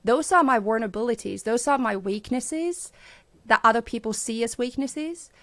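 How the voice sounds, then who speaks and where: Guest: Finnish woman in her thirties, candid and reflective, slightly high voice with some fry. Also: animated, moderate pace, no echo. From Finland. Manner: candid and reflective, laughs often. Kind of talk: casual conversation.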